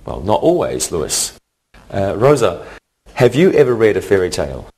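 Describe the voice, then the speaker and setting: A man teaching an English grammar lesson in a scripted, deliberate, instructional way, enunciating carefully. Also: low voice, very animated, a little mellow, breathy edge, rough recording.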